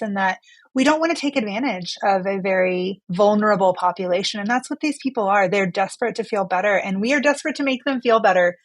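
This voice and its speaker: A woman's voice: mid-range voice, no echo, poor audio. A woman physician researcher speaking as an interview guest, earnest and emphatic. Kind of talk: conversational expert interview.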